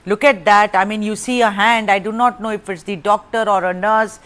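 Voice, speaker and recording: A woman's voice: mid-range voice, steady tone, a little fast, a bit bright. Probing news anchor woman. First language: Tamil. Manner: probing, pressing questions. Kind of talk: live TV interview.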